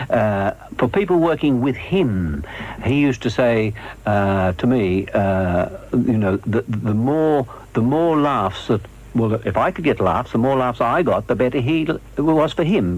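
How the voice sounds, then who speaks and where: A man's voice: medium pitch, lively intonation, moderate pace, darkish tone, poor audio. An older man reminiscing conversationally in an interview about working as a comic performer. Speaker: comedian, interviewee.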